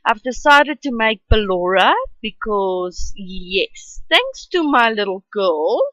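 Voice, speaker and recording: Slow, chatty Afrikaans-accented woman. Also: mid-range voice, expressive, fairly bright tone, no room sound, lo-fi sound. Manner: chatty and rambling, with many ums. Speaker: artist narrating her drawing. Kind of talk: casual voice-over for a speed drawing.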